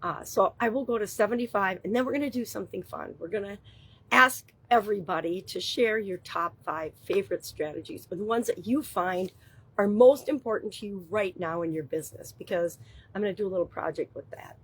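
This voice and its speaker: A middle-aged woman with a hoarse, gravelly voice, casually giving a daily business tip as host, chatty with filler words. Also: mid-range voice, brisk, poor audio. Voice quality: husky. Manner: upbeat, chatty, thinks aloud with fillers. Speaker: business coach and show host. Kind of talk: daily business tips monologue.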